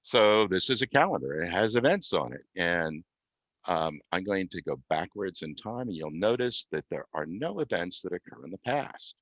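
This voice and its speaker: A man giving an unscripted software demonstration as a guest, explaining step by step with halting, mid-phrase pauses. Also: sits low, big pitch swings, no echo. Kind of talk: unscripted software demo walkthrough.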